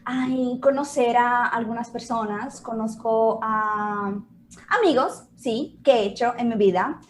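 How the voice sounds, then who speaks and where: A woman's voice: a little high, slight background noise. A Brazilian woman whose first language is Portuguese, a Spanish teacher speaking as a guest over a video call and searching for her words.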